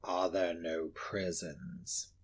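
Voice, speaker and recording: A man's voice: little pitch movement, drawn-out words, heavily acted. A man doing a growling, whispering old miser. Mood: curt, grumbling. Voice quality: low, growling, half-whispered. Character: miserly bitter old male moneylender.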